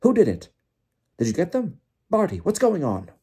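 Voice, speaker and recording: A man voicing a flustered, excitable wizard official in an audiobook, breathless and full of exclaimed questions. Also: sits high, normal speed, slightly rough, voice pushed up, heavily acted. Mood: startled, incredulous. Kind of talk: audiobook character voice.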